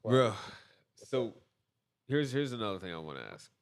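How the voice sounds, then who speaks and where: A young man co-hosts a podcast and asks his guest long, wandering questions in a relaxed, easygoing tone. His voice sits fairly low. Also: normal speed. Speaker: podcast co-host. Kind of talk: casual podcast interview.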